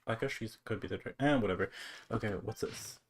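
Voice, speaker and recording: Game streamer, casual commentary, mumbled reading aloud, trailing off, medium pitch. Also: a little fast, a little hiss or hum.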